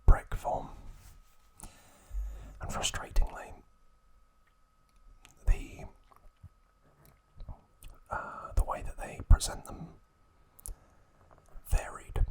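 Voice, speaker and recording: A man hosting an ASMR video, speaking in a breathy, hushed whisper with deliberate, separated phrases, close to the microphone. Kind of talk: ASMR, whispered trivia and show-and-tell.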